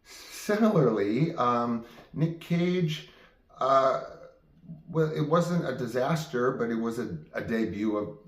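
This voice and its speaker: A man's voice: mid-range voice, lively intonation, takes it slowly, reverberant. A man hosting his own show, telling stories off the cuff in a casual, rambling way that often breaks off and restarts. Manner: rambling, self-interrupting. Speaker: host of own game show. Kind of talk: casual improvised storytelling to viewers.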